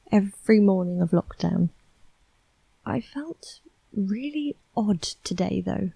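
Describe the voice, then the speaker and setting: A woman acting a dazed, disconnected diarist in a quiet, detached monologue. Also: mid-range voice, vocal fry, slightly rough, no echo. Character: dazed, disconnected young woman confiding in a diary. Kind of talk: acted diary monologue with music.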